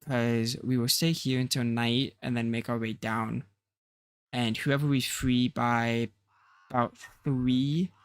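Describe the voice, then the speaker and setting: Male streamer, tired and slightly rough, casual thinking-aloud commentary, creaky edge. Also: mid-range voice, no room sound. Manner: rambling, thinking aloud with fillers. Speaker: game streamer. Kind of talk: casual let's-play commentary.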